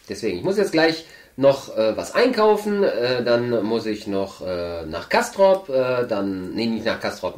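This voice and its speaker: A young man with a stuffy nose, chatting casually on camera from a table indoors as he opens an advent calendar, rambling with lots of hesitant fillers. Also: medium pitch. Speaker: channel host. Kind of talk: casual chat while opening an advent calendar. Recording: roomy, echoey room sound.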